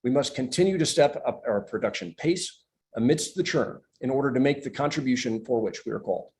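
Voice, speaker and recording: A man's voice: medium pitch, lively intonation, a little fast, darkish tone, slight background noise. A man speaking as a company executive on an earnings call, reading a prepared presentation with upbeat, promotional emphasis. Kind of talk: scripted corporate presentation.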